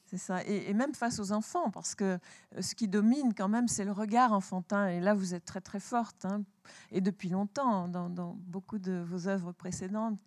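A woman interviewing an author about her book, hesitant and filling her pauses with fillers. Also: medium pitch, no echo, clean studio sound. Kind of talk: literary interview.

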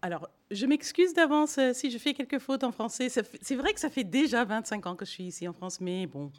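A woman's voice: fairly high pitch, animated, brisk. A middle-aged woman whose first language is English, talking to an audience about her path as a singer, hesitant with many fillers and restarts. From Canada. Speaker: guest singer and voice teacher. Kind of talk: spontaneous talk to an audience.

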